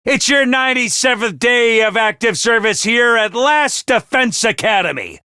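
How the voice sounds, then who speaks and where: An actor playing a theatrical male academy commander who swings from booming cheer to menace, in a game. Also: animated, normal speed, pushed and bright, clean tone, no echo, clean studio sound, full character voice. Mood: rousing. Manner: booming, over-the-top cheer. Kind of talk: video game voice lines.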